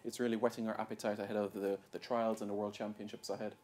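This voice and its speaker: A man's voice: lowish voice, level tone, quick pace, a little mellow. A man with a Jamaican accent presenting a scripted sports report as a news broadcaster.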